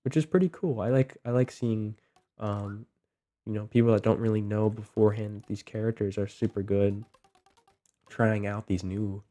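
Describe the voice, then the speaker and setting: Low, mellow young man with a cold. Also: moderate pace, faint music behind. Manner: relaxed, many ums and likes. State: getting over a cold, voice a little off. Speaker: gaming video host. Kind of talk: casual gameplay commentary.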